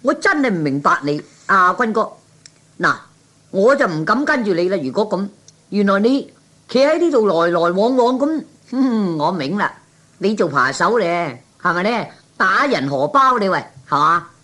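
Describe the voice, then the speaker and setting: A man voicing an excitable, nosy hanger-on with a Cantonese accent in a 1960s comic radio play, chatty and full of exclamations. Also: high-pitched, moderate pace, exaggerated voice. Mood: suspicious.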